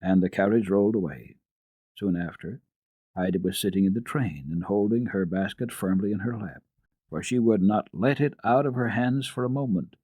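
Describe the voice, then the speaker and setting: A man giving an expressive, careful dramatic reading of classic children's literature as a radio storyteller. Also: on the low side, normal speed, slightly rough, dry recording.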